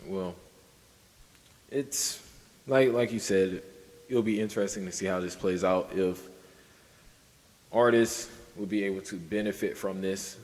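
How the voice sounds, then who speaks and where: A man co-hosting a podcast in casual discussion, halting and circling back over his points. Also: low voice, a little mellow, big-room sound, rough recording. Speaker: podcast co-host.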